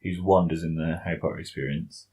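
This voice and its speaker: Male guest, very low voice, husky, short casual interjections. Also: fairly even intonation, fast, dark tone. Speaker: podcast guest, audio drama sound engineer. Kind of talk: casual chat.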